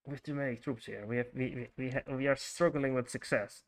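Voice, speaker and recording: A man's voice: mid-range voice, fairly even intonation, a little fast. Male streamer, Frisian Dutch accent, laid-back game commentary, chatty with viewers, lots of restarts. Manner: chatty, thinks aloud, greets chat, many fillers and restarts. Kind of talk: casual livestream commentary while playing.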